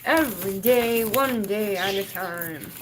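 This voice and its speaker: A woman's voice: mid-range voice, slow pace, background noise. A woman in her fifties chatting casually on a livestream, rambling and laughing often. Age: 50s. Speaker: livestream host.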